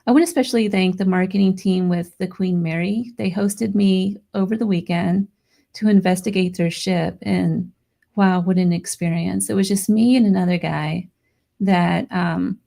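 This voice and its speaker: A woman hosting a live paranormal radio show, hoarse and losing her voice, chatting excitedly with her listeners. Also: lowish voice, moderate pace. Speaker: radio podcast host. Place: home studio near Las Vegas.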